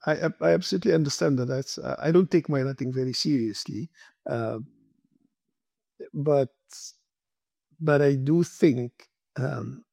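A man's voice: medium pitch, unhurried, gravelly, pauses often, dark tone, no echo, clean studio sound. A man interviewing a guest, talking thoughtfully with stumbling restarts. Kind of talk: conversational interview.

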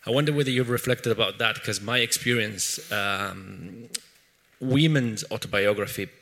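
Low-voiced audience member asking a question. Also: male voice, normal speed, vocal fry, pushed and bright, big-room sound. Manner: polite, searching, with fillers.

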